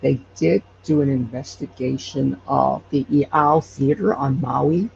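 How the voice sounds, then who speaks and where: A man from Hawaii telling stories casually as an interview guest, haltingly, with repeated false starts. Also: mid-range voice, fairly even intonation, vocal fry, dull audio, noisy background. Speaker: podcast creator and guest.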